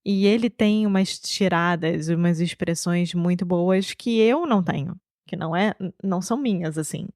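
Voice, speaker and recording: Carioca woman cohosting a podcast, low voice, fast lively chat, laughs a lot, dry close mic. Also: no echo. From Brazil. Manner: lively, laughing, quick bursts of talk. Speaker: podcast co-host. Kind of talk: casual conversational chat.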